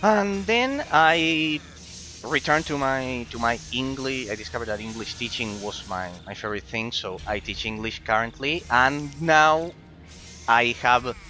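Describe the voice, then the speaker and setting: A man with an Argentine Spanish accent talks to his game-stream viewers in a bright, medium-pitched voice. He is effusively polite and often repeats short words and phrases as he chats. Faint music plays behind him. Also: animated, a little slow. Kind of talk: casual live-stream chat with viewers.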